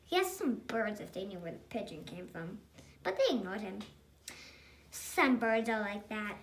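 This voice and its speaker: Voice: mid-range voice, big pitch swings, normal speed, a little hiss or hum. A child reading a picture book aloud expressively, with chatty asides. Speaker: storybook reader.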